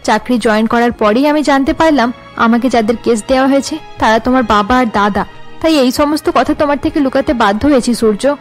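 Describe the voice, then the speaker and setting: Woman acting a high-voiced earnest young policewoman. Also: fairly quick, faint music behind, close to an everyday voice. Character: young undercover policewoman wife, earnest, resolute. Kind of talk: cartoon drama dialogue.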